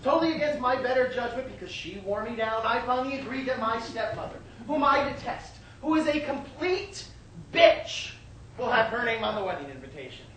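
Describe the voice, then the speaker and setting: A woman's voice: a little high, expressive, a little fast, close to an everyday voice. A woman playing a put-upon, exasperated bridegroom telling his troubles in a theatrical stage monologue. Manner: exasperated, pleading storytelling. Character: Ivan, put-upon, weary adult bridegroom. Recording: echoey stage recording, distant mic, noisy.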